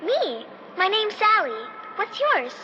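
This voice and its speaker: A woman's voice: very high-pitched, very animated, slow, sharp tone, heavily acted. A woman playing an innocent, strangely eerie little girl with a childlike manner in a vintage radio drama. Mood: friendly, curious. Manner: childlike, singsong, naive. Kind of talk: 1950s radio drama acting. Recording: muffled vintage radio sound, clear noise bed.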